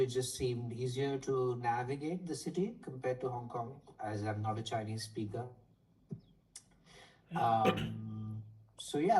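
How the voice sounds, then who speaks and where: A man in his forties, an economics professor, answering interview questions thoughtfully over a video call with frequent pauses and fillers. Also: medium pitch, darkish tone. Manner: thoughtful, hesitant with many fillers. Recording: distant from the mic, faint noise.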